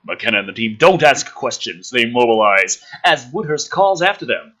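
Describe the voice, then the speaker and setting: A man reads a script aloud as a host, with a mocking, theatrical manner. His voice is forceful and a little rough, and it swings widely in pitch as he adds exasperated asides. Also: lively intonation, moderate pace. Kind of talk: animated script read-through with sarcastic asides.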